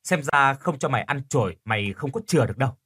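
A man's voice: medium pitch, expressive, fast talker, a bit bright, slightly rough. A man voicing a feisty young woman in an audio story, blunt with friends and polite to elders. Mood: scolding.

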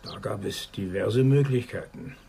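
An actor playing a reluctant older man, guarded and measured as he recalls a buried past, in a German radio drama. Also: fairly low pitch, gravelly, warm tone, a little hiss or hum. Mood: wary.

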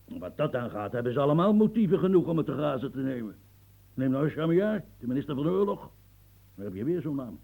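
An actor playing a proud, coarse old French marquis and marshal in a radio play, gruff and boastful, muttering one moment and shouting the next. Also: male voice, mid-range voice, sing-song, brisk, narrow, tinny audio. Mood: indignant. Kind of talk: radio drama acting.

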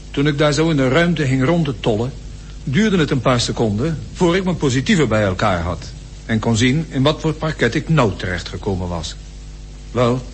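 A wry explorer played by a man makes light of danger in a colloquial radio monologue. His intonation swings in a lively way. A faint hum sits behind the voice. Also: mid-range voice, lightly acted.

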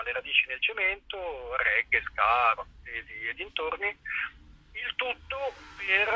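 A man's voice: mid-range voice, pushed and bright, a little hiss or hum, rough recording. A man explaining things informally in an interview, earnest, discursive and colloquial. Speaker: activist, interview guest.

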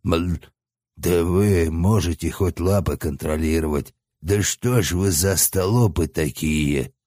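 A man's voice: low voice, slow pace, warm tone, no echo, studio quality. A man voicing the stern, commanding central head of a three-headed hellhound.

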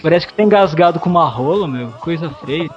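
A Brazilian man joking as a guest on a group podcast. His voice is fairly high and his manner teasing. The audio is slightly dull and lo-fi. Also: lively intonation, fairly quick. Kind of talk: casual joking banter.

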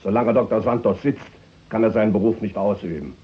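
An actor playing a blunt, ruthless finance minister in a 1960s radio drama, speaking in sarcastic, emphatic oratory. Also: male voice, fairly low pitch, fairly quick, husky, highs cut off. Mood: cynical, needling.

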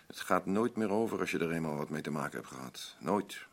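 An actor playing a blunt, rambling working-class husband in a radio drama, boastful, self-pitying and defensive. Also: male voice, deep voice, animated, brisk, vocal fry, heavily acted. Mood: aggrieved. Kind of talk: naturalistic radio drama dialogue.

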